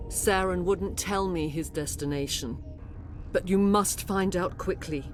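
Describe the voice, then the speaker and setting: A regal alien matriarch played by a woman speaks in a low, solemn voice over prominent music. She is formal and measured, a once-enslaved mind heavy with remorse, in a dramatic game scene. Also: natural voice. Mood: solemn, remorseful. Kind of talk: dramatic video game acting.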